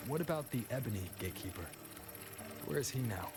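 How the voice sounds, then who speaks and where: A man's voice: medium pitch, animated, fairly quick, lightly acted. A man playing a keen, inquisitive young adventurer in a video game, with a casual way of talking. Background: loud game music.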